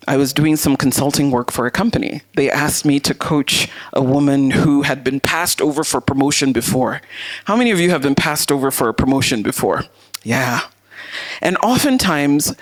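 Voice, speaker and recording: A woman with a deep voice giving a motivational masterclass talk to an audience, speaking in a deliberate, emphatic way. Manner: deliberate, emphatic, uses rhetorical questions and repetition. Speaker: masterclass speaker, leadership coach.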